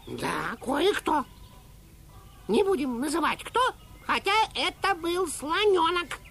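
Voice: high-pitched, sing-song, takes it slowly, creaky, fairly bright tone, a little hiss or hum, exaggerated voice. An actor playing a bossy, pedantic male parrot in a children's audio play, with a didactic, self-important manner. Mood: officious.

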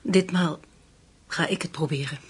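Anxious determined widow, woman, very husky and breathy, radio play. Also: on the low side, a little slow. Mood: challenging, determined. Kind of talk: radio drama acting.

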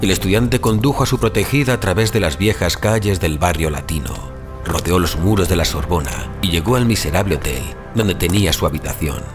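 A man with a deep voice narrates literary fiction. His scripted reading is grave, measured and theatrical. A clear bed of music runs behind the narration. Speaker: audiobook narrator.

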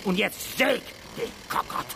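A man's voice: measured pace, noisy background, lightly acted. An actor playing a domineering, abusive forestry boss who bullies his workers in a radio drama. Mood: menacing.

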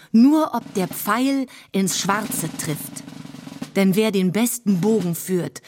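A woman's voice: mid-range voice, darkish tone. A woman reciting rhymed verse like a herald in a radio play, with declamatory, rhythmic delivery.

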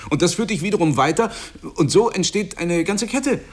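A man playing a smug, preachy husband in a radio play, effusive and insistent, lecturing in a slightly husky voice. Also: high-pitched, expressive, moderate pace. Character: Michael, smug, preachy husband proud of his home.

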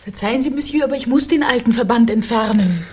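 Evasive, husky-voiced woman. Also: on the high side. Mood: brisk, reassuring. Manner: evasive, polite, brisk. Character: evasive young Parisian woman tending a patient, guarded, brisk. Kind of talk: German radio crime drama acting.

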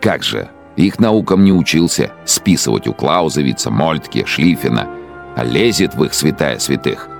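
An actor playing a brooding, arrogant, fanatical middle-aged dictator in a radio drama monologue, speaking in pompous, scornful, emphatic phrases. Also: male voice, rumbling low, lively intonation, normal speed, vocal fry, full character voice. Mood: scornful, bitter. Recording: loud music behind the voice. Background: music.

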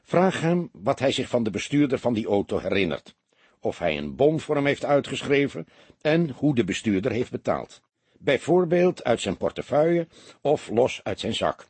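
A man voicing an impatient, commanding police detective in a storybook, firing off brisk orders and probing questions. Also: medium pitch, very animated, darkish tone, slightly rough, dry recording. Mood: businesslike, instructing. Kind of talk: audiobook character dialogue.

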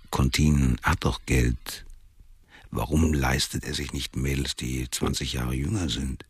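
An actor playing a crude, cynical middle-aged ex-convict, musing sardonically to himself in a radio crime drama. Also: male voice, very deep voice, creaky, husky, dry recording, heavily acted. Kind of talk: radio drama inner monologue.

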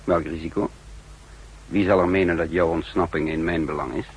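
An actor playing a cool, commanding spymaster and double agent in a 1960s Dutch radio drama, speaking in an assured, deliberate way. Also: male voice, deep voice, steady tone, breathy edge, low-quality recording. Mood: calm, confiding.

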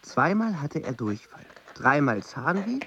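An actor playing a grown son who recounts his father's life in a calm, matter-of-fact way. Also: a little high, measured pace, background noise. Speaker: narrating character. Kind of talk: radio play narration. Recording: dull radio play audio with music.

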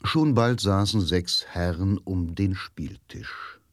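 A man narrating a radio drama in a measured, literary and dramatic manner. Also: low-pitched. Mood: grave, suspenseful. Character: grave literary narrator of a crime story. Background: music.

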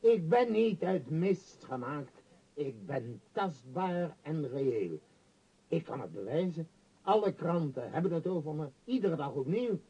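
A man's voice: on the high side, sing-song, unhurried, warm tone, husky, highs cut off, exaggerated voice. An actor in a radio play voicing a vain, powerful middle-aged male tycoon with a pompous, emphatic, scornful manner. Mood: boastful. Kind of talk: radio drama acting.

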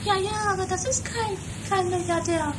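A woman's voice: very high voice, normal speed. A woman playing a naive, stubborn, romantic young daughter in an improvised comedy radio play, childlike and whiny. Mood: timid. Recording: noisy lo-fi 1980s amateur radio recording.

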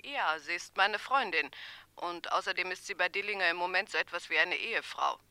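A woman's voice: lowish voice, a little fast, bright, forceful tone, smooth. An actress playing a calculating female informant who talks in a businesslike, matter-of-fact way in a radio crime play.

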